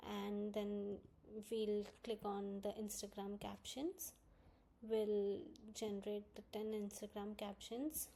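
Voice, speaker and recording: Mellow-voiced woman giving a software walkthrough. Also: mid-range voice, gravelly, a little hiss or hum. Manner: step-by-step explaining. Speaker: software tutorial presenter. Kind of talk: screen-recorded software walkthrough, instructional narration.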